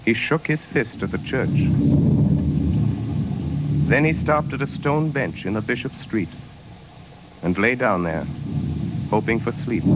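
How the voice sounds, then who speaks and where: A man narrating a 1930s radio drama as a grave storyteller, in solemn, measured, dramatic short phrases. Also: on the low side. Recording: dull, noisy 1930s radio recording.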